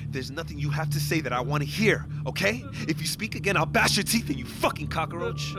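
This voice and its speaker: A volatile, threatening young man with a high voice that swings widely. He is aggressive and crude, with loud dramatic music behind him. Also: animated, brisk. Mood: menacing. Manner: aggressive, foul-mouthed. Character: hot-tempered, menacing young man guarding a prisoner. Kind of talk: audio drama acting.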